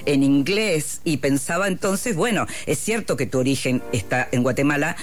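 A man's voice: on the high side, animated. A man interviewing a writer, phrasing his questions carefully and answering back with "claro". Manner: measured, searching phrasing, comes back with "claro".

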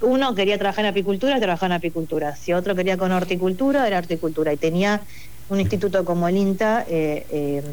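A woman's voice: on the low side, animated, fast, a little mellow, slight background noise. A woman with a Buenos Aires accent, explaining a project in an interview, hesitant, with many fillers and restarts. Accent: Buenos Aires Spanish. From Buenos Aires, Argentina. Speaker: project coordinator. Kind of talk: interview conversation.

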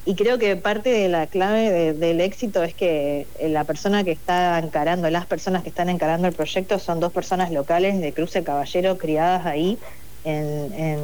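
Hesitant low-voiced Buenos Aires woman. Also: fairly even intonation, normal speed, a little mellow, a little hiss or hum. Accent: Buenos Aires Spanish. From Buenos Aires, Argentina. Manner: hesitant, many fillers and restarts. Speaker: project coordinator. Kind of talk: interview conversation.

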